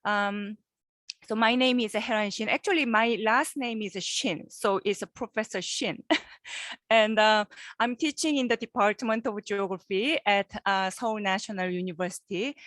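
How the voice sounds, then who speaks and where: A woman professor giving a guest lecture over a video call, halting and repeating words, often checking in with her listeners. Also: fairly high pitch, a bit bright, dry recording, studio quality. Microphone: computer microphone on a video call.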